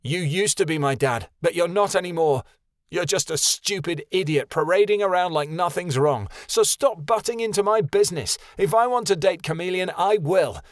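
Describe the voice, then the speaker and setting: A man voicing a grieving teenage son who shouts bitterly at his father. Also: on the high side, animated, normal speed, no room sound, studio quality, voice pushed up, close to an everyday voice. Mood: furious.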